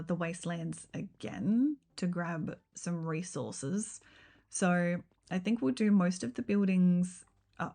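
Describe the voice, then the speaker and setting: Croaky, low-pitched woman streamer. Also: darkish tone. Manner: easygoing, meandering, with frequent ums and likes. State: slightly rough, croaky voice. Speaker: game streamer. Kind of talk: casual livestream chat while building in a game.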